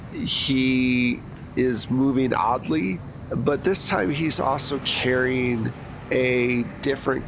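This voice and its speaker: A man in a mid-range voice runs a tabletop horror game as its game master. He talks in a relaxed, descriptive way, laying out what the players find and taking their questions. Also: medium pitch, background noise.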